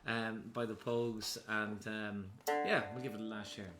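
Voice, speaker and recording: A man hosting a music session, chatting casually and praising songs enthusiastically, with halting restarts. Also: fairly low pitch. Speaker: host. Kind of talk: casual chat introducing songs.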